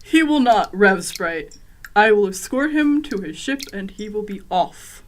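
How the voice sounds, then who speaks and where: A woman's voice: medium pitch, expressive, measured pace, slight background noise, close to an everyday voice. A woman playing an earnest, welcoming alien guide who explains her people's ways in a comedic radio drama. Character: welcoming, easily tempted female alien guide of a water-cult people.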